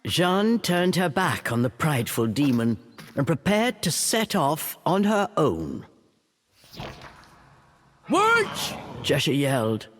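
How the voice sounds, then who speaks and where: Unhurried, animated storybook narrator. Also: mid-range voice, slightly rough. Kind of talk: scripted storybook narration for a video game.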